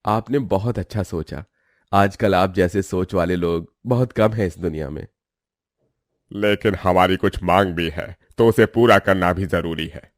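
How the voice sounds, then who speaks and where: Man as pompous middle-aged groom's father, demanding, deep register, family story. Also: measured pace, no room sound, clean studio sound. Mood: self-important.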